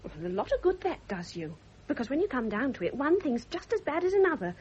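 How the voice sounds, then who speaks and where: An actress playing a resigned, plain-spoken servant with a husky voice and a fatalistic, grumbling manner in radio drama. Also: female voice, high voice, very animated, brisk, a little mellow, exaggerated voice. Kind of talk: 1970s radio drama acting. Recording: muffled vintage radio drama recording.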